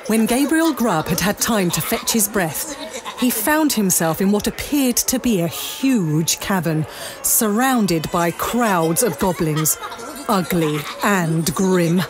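A woman's voice: mid-range voice, animated, takes it slowly. A woman, narrating a story in a dramatic storybook style.

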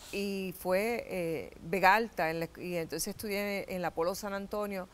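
A middle-aged Puerto Rican woman, an actress, telling stories as a guest in a TV studio interview, animated and gesturing. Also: medium pitch, normal speed. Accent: Puerto Rican Spanish. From Puerto Rico. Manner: animated storytelling with gestures, laughs and acted-out quotes. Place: TV studio set.

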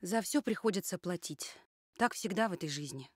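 A woman's voice: lowish voice, expressive, a little fast, breathy edge, dry recording, studio quality. A woman voicing a solemn puppet prophet. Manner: solemn, admonishing. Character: solemn female puppet survivor warning humanity. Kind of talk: puppet theatre performance.

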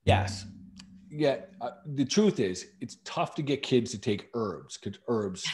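Middle-aged man, podcast host, emphatic, repeats words for stress, casual explainer, mid-pitched. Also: expressive, slow pace, darkish tone. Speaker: natural medicine practitioner and podcast host.

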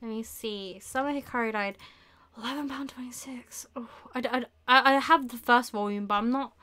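A young woman hosting a casual live stream, thinking aloud in an unhurried way with murmured asides. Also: on the high side, pushed and bright. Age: young adult. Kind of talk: casual chat while shopping online. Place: small indoor room with bookshelves.

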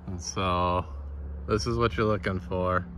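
A man with a lowish voice chats through his woodcarving project in a relaxed, matter-of-fact way. He is recorded on a camera mic out in a yard, with faint outdoor sound around him. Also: measured pace, a little hiss or hum. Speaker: chainsaw carver. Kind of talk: casual workshop vlog narration.

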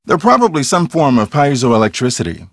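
A man's voice: medium pitch, sing-song, a little fast, no echo, clean studio sound. A man playing a cocky, earnest TV ghost hunter in a video game, explaining things in a theatrical, self-assured way. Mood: earnest, intense.

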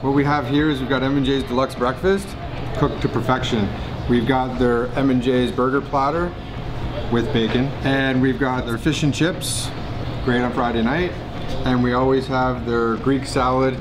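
A man's voice: mid-range voice, steady tone, moderate pace, noisy background. A man in his forties from Canada, hosting a casual, upbeat food vlog from a restaurant and his car. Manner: upbeat, chatty and promotional.

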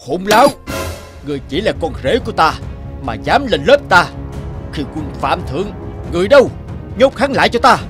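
An actor playing a stern, quick-tempered emperor and father in a cartoon folk tale, speaking in a commanding way. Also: high voice, sing-song, music in the background. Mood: furious. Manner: commanding, imperious. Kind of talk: animated folk-tale dialogue.

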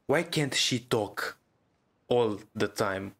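A man's voice: on the low side, animated, takes it slowly, fairly bright tone. A man reacting casually as he plays through a video game, commenting as a gaming YouTuber.

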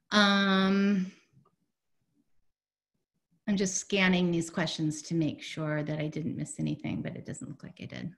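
A woman in her forties on a video call from home, presenting in a chatty, upbeat way with many fillers, her voice going hoarse. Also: fairly low pitch, fairly bright tone. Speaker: program director presenting a webinar. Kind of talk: casual webinar presentation. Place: home room, indoors. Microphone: video call, laptop mic.

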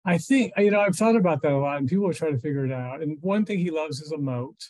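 American guy in his 50s, slightly high voice, chatting like a finance expert on a video call. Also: quick pace, a little mellow. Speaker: financial journalist interviewed as expert. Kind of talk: conversational expert commentary. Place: small furnished room at home.

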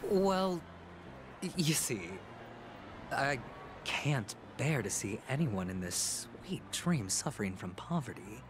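An actor playing a sly, suave young man in a video game, measured and theatrical in manner. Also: mid-range voice, big pitch swings, noisy background. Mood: smooth, ingratiating. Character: sly, suave young gentleman gambler, smoothly calculating. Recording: music behind the voice.

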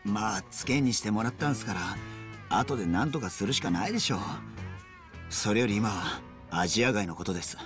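Actor as a lowish-voiced young yakuza underling. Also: male voice, lively intonation, fairly quick, music in the background, lightly acted. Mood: uneasy. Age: young adult. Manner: casual, rough-edged deference. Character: young yakuza underling, casual, exasperated but deferential. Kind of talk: Japanese video game voice acting.

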